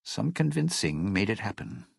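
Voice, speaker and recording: Game role: smug, vengeful crooner-thief, low husky rasp, widely swinging sardonic delivery. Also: male voice, sing-song, moderate pace, no echo, studio quality, full character voice. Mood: scheming, matter-of-fact. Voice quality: husky, gravelly. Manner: sardonic, theatrical, sneering. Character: bitter, scheming male lounge singer and thief, smug and vengeful. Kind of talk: video game character dialogue.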